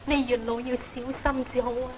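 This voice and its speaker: An actress playing a gentle, devoted young woman in an old Cantonese opera film, with a Cantonese accent. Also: a little high, little pitch movement, normal speed, heavy background noise, close to an everyday voice. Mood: tender. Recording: echoey old film soundtrack, loud music.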